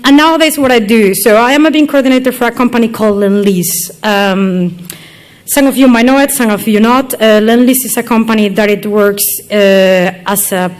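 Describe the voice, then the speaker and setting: A young woman from Galicia with a Spanish accent, giving a chatty, self-deprecating conference talk in English with many fillers. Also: on the high side. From Galicia, northwest Spain. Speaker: conference speaker. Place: conference hall.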